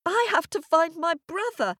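A woman's voice: extremely high pitch, animated, normal speed, a bit bright, no room sound, clean studio sound, voice pushed up. A woman voicing a headstrong, impatient young girl in a storybook, with an insistent manner. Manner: insistent, foot-stamping.

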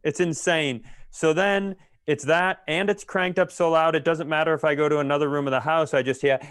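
A man's voice: a little high, steady tone, fairly quick, fairly bright tone, clean tone, no echo, studio quality. A man ranting casually and comedically as a podcast host, exasperated and swearing freely. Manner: exasperated, profane.

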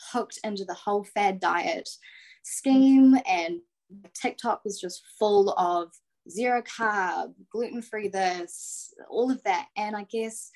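The mid-pitched Kiwi woman you would hear dialling into a chat podcast from her phone, New Zealand accent throughout. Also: darkish tone, low-quality recording. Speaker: podcast guest, calling in. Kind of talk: casual chat. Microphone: phone on a remote call.

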